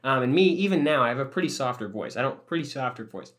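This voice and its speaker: A man's voice: medium pitch, expressive, fast talker, fairly bright tone. A young man co-hosting a podcast, telling stories in a rambling, joking way and swearing often. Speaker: podcast co-host. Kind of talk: casual chat.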